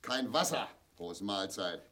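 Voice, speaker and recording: An actor playing a bitter, volatile East German husband in a grotesque radio play, erratic between muttering and shouting. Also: male voice, lowish voice, lively intonation, takes it slowly, exaggerated voice. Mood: bitter, agitated.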